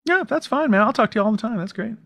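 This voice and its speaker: A man's voice: sits high, lively intonation, racing, vocal fry, a bit bright, dry recording. A man guesting on a podcast, chatting casually and rambling with many restarts as he plugs his own show. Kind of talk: casual interview chat.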